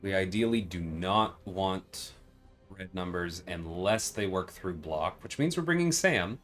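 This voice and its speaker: A man with a fairly low voice hosts a casual livestream. He explains things conversationally, often tagging points with "right?" to check that listeners follow. Faint music plays behind him. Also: expressive, a little slow, a bit bright. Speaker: livestream host and game developer.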